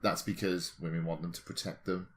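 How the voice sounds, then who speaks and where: A man's voice: deep voice, level tone, fairly quick, fairly bright tone, a little hiss or hum. A man co-hosting a podcast, chatting casually with a wry, teasing, comic manner. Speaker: podcast co-host.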